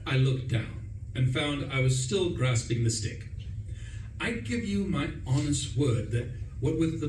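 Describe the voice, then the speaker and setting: A man giving a theatrical, wry and wordy first-person narration in a comic radio play. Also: a little high. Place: live performance in a roomy space. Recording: roomy, distant, noisy live stage recording.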